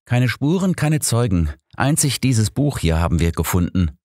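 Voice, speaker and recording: Businesslike male police inspector , done by a reader, slightly lifted pitch, audiobook. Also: very animated, normal speed, a little mellow, no echo, close to an everyday voice. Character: police inspector, businesslike man reporting a crime.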